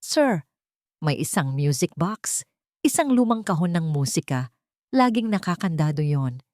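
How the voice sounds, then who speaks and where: A woman's voice: deep voice, big pitch swings, unhurried, a little mellow, no room sound, clean studio sound. A woman voicing a frightened, deferential old housemaid with a trembling voice in a dramatic story. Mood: fearful, urgent.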